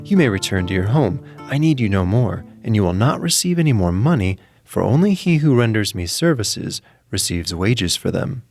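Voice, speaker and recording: A man's voice: on the low side, sing-song, normal speed, gravelly, few pauses, darkish tone, no echo, soft background music, heavily acted. Storybook monarch, read by a man: male, cold-hearted, haughty, curt and imperious, close dry sound. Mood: cold, dismissive.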